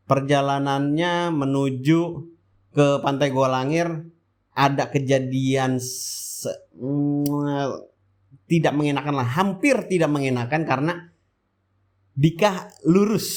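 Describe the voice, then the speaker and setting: A man with a Jakarta-area Indonesian accent giving a casual, rambling motovlog voice-over, often asking and answering his own questions. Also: on the high side. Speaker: motovlog host. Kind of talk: casual vlog voice-over, chatty and informal.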